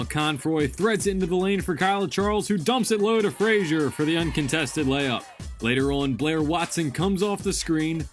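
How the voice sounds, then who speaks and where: Brief: young male sports reporter, raised pitch, reading scripted highlight packages and reports. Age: young adult. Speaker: student sports reporter and anchor.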